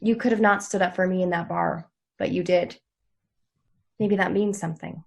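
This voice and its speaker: A woman's voice: fairly low pitch, steady tone, moderate pace, vocal fry. A woman playing a frank, teasing yet supportive young woman in a TV drama read, conversational in delivery. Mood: sincere, supportive.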